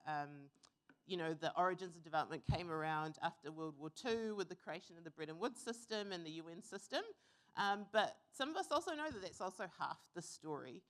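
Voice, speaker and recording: A young woman from New Zealand giving an earnest, reflective conference talk, pausing with fillers now and then. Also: fairly low pitch, a bit bright. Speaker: conference speaker. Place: conference hall.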